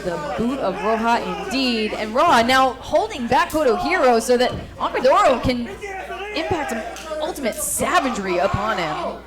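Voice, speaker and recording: A woman's voice: on the high side, animated, normal speed. A woman doing color commentary on a wrestling match, playful, often laughing and exclaiming. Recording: loud crowd behind.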